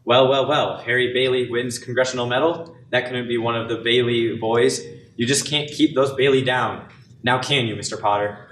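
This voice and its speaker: A man's voice: mid-range voice, fairly even intonation, normal speed, a bit bright, natural voice. A man playing a chatty, gloating, absent-minded small-town uncle in an amateur radio play. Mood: gleeful. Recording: echoey room with background noise.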